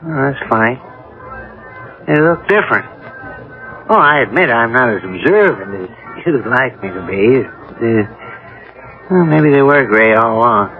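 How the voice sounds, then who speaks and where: An actor playing an easygoing, dismissive middle-aged American husband in a radio drama, offhand and conversational. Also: male voice, mid-range voice, lively intonation, unhurried, long pauses, soft background music. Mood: puzzled. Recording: phone-like old radio broadcast.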